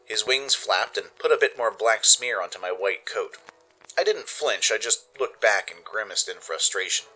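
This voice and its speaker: A man narrates a radio play in a theatrical, expressive style. His voice is fairly low yet bright and forceful, and music plays beneath it. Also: normal speed, rough recording. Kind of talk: dramatic scripted narration.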